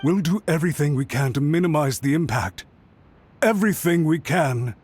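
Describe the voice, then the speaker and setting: A man's voice: fairly high pitch, animated, normal speed, a little mellow, slight background noise, lightly acted. An actor playing an anxious, apologetic male official who speaks with deference and pleads for a second chance, in a studio game. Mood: pleading. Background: music.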